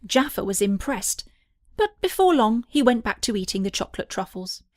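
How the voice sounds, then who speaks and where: A woman's voice: fairly high pitch, very animated, moderate pace, dry recording, clean studio sound. A woman narrating a scripted children's story as an audiobook reader.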